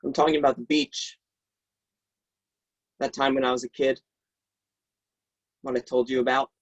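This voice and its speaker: Stage role: troubled teen boy, young male actor, mid-pitched, intimate, hesitant dramatic monologue delivered on a video call. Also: flat delivery, moderate pace, close to an everyday voice. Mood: absorbed, intense. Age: young adult. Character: troubled teenage boy recalling a horse ride.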